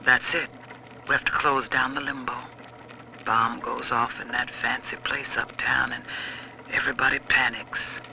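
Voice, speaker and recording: A man's voice: mid-range voice, level tone, measured pace, vocal fry. A man playing an anxious father and failing nightclub owner in a dramatic game audio log. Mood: worried, resigned. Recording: faintly noisy audio-log recording.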